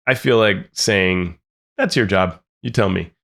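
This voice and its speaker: Low gravelly guy chatting on a podcast, thinks out loud. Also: measured pace. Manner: thoughtful, repeats words while thinking. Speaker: podcast co-host. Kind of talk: casual chat about tabletop games.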